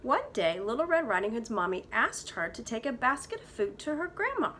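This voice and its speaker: A woman's voice: on the high side, big pitch swings, a little fast, a bit bright, a little hiss or hum. A woman preschool teacher reading a storybook aloud to young children, with dramatic emphasis.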